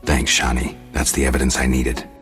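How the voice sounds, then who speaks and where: A man voicing a stoic, gruff monster hunter in a video game, terse, deadpan and sardonic. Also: very deep voice, moderate pace, faint music behind. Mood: analytical, deadpan.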